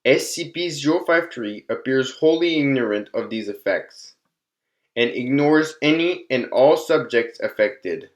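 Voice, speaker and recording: A man's voice: mid-range voice, expressive, unhurried. Man reading a formal scripted log. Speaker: narrator. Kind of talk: scripted narration of fictional case files.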